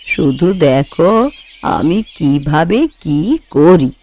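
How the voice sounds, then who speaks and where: Woman playing a proud, strict mother-in-law, slow-spoken. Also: deep voice, a little mellow, dry recording. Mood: proud, firm. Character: proud, strict older mother-in-law holding household control. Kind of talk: Bengali cartoon voice acting. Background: background music.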